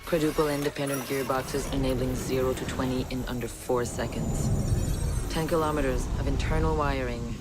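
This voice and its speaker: An actress playing a proud, assured engineer operative in a video game monologue, precise and emphatic. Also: female voice, very deep voice. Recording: loud music behind.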